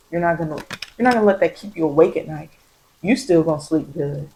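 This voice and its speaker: An American woman giving soft-spoken ASMR spiritual guidance as a tarot reader, meandering and repeating phrases to reassure. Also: on the low side, slight background noise, low-quality recording.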